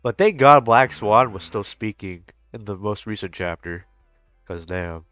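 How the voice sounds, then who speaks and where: A man's voice: on the low side, lively intonation, unhurried, fairly bright tone, dry recording, clean studio sound, soft background music. A young adult man giving casual, slangy reaction commentary on a game, with frequent swearing. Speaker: streamer reacting to a game story.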